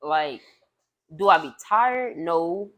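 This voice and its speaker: A woman's voice: fairly low pitch, lively intonation, slow pace, fairly bright tone. Talkative woman singer with a light voice. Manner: loose and talkative, many fillers. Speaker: singer and podcast guest. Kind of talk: casual conversation.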